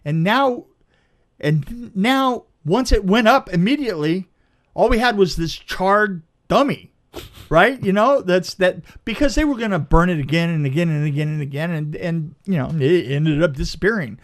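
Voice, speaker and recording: A middle-aged man telling a casual anecdote in an emphatic, halting way, repeating phrases and checking in with the listener. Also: high voice, animated. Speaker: podcast guest, film crew veteran.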